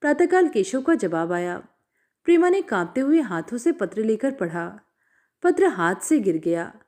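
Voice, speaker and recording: Woman storyteller, slightly high, mellow tone, expressive measured narration.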